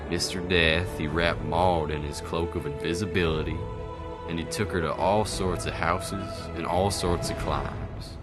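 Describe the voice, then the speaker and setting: A man narrates a radio drama in a low, deep voice. His delivery is folksy and colloquial, like a tall tale being told. Loud music plays behind him. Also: fairly even intonation, measured pace.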